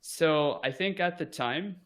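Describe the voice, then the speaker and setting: A man's voice: on the high side, slow pace. A young man talking casually as an interview guest, rambling with many fillers and restarts. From United States. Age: young adult.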